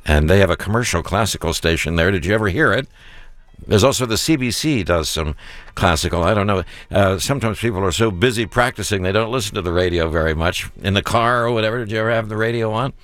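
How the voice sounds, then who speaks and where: A man hosting a public radio fundraiser in the studio, chatting genially with guests and slowing down to read out the pledge number. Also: on the low side, a bit bright, dry recording. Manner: genial, chatty, slows to repeat phone numbers. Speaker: radio host. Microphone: studio mic.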